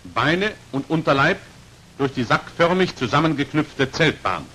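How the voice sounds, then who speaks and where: A man's voice: fairly high pitch, big pitch swings, measured pace, pushed and bright, husky. A man narrating an old 1940s instructional film in a precise, formal manner, on an aged film soundtrack. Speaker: narrator of a military training film. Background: hiss.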